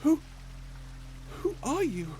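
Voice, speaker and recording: A performer voicing a shy, gentle and curious octopus traveller in an ASMR story roleplay, timid and stammering. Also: high-pitched, very animated, slow, a little hiss or hum, exaggerated voice. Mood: nervous, startled.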